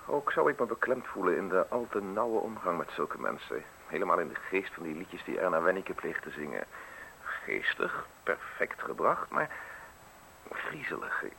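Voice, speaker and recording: An actor in a radio play voicing a modest, wordy public prosecutor who speaks with formal, excessive politeness and sly insinuation. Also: male voice, deep voice, very animated, moderate pace, creaky, bright, forceful tone, exaggerated voice, low-quality recording. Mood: prying, insinuating. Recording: hissy old radio play recording.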